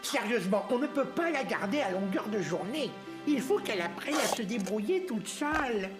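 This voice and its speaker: A woman's voice: fairly high pitch, normal speed. A woman voicing a sensible, cautious dwarf brother in a French cartoon dub, with a lecturing manner. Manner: lecturing, animated. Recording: music behind the voice.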